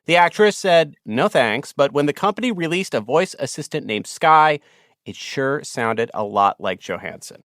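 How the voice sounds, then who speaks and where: Lively male news anchor. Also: a little high, normal speed, dry recording, clean studio sound. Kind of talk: broadcast news report.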